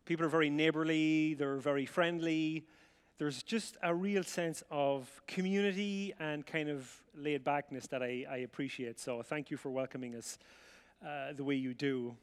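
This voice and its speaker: A man's voice: a little high, fairly even intonation, normal speed, clean tone. A middle-aged Irish man from the rural midlands, telling his life story to an audience with chatty, self-mocking humour and acted-out dialogue. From Ireland. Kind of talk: storytelling talk to a live audience. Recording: reverberant hall sound.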